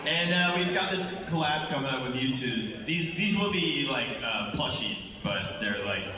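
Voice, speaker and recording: A man gives informal panel announcements in a casual, halting way, with hesitant pauses and trailing asides. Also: mid-range voice, animated. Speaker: animation creator presenting at a fan convention panel. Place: large convention hall with audience. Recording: echoey hall, distant mic, loud crowd noise.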